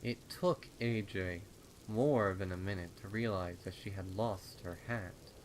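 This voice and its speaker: Low slow guy reading a creepy story, dramatic and ominous, rain behind him. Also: a little hiss or hum, low-quality recording. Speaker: fan fiction narrator. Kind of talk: dramatic horror story narration. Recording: rain and storm ambience behind.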